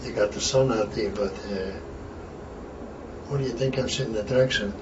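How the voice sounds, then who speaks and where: A man's voice: on the low side, fairly even intonation, normal speed. An older man from Antwerp, a retired wrestler reminiscing in a blunt, rambling, profane way. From Antwerp, Belgium. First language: Flemish. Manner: blunt, rambling, swears freely. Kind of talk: casual reminiscing chat. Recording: distant, roomy, dull lo-fi with background hiss.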